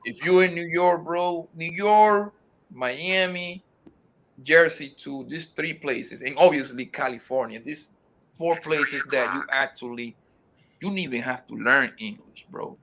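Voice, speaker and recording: Bright, higher-voiced man ranting casually. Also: measured pace, poor audio. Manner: animated, swears freely, addresses listener as bro. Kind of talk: casual conversation.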